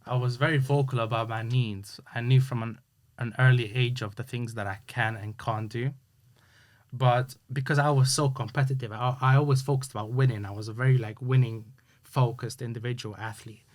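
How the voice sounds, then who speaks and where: A man talks through his story as an interview guest. His voice sits at an ordinary middle pitch. He speaks earnestly and halts often, with plenty of fillers and restarts. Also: fairly even intonation, normal speed. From Hungary. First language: Hungarian. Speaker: guest, youth sports coach. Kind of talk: casual interview chat.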